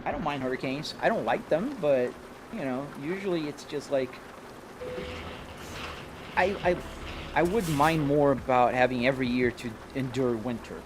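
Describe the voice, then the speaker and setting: A man's voice: mid-range voice, lively intonation, normal speed, pauses often, background noise. A Brazilian man whose first language is Portuguese, chatting casually with viewers on a live stream while driving a truck sim, greeting people by name. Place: home sim rig.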